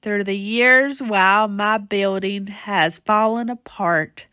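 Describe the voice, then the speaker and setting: A woman reading a children's story aloud as narrator, in a dramatic, deliberate and expressive way. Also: medium pitch, clear, resonant tone, no echo, clean studio sound. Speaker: storybook reader.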